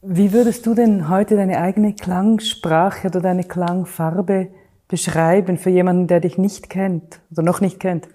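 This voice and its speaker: A woman hosting an interview, asking measured, clearly worded questions. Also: on the low side, fairly even intonation, warm tone. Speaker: interviewer, podcast host.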